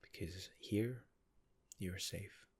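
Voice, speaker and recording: A man whispering a soothing ASMR guided relaxation, talking the listener gently through an imagined scene. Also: deep voice, drawn-out words, husky. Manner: soft, soothing, second-person guiding.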